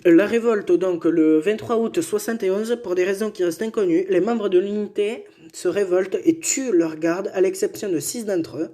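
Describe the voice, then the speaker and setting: A man's voice: high voice, normal speed, hardly any pauses, mellow. A man casually reading history notes aloud as co-host, stumbling, correcting himself and joking aside.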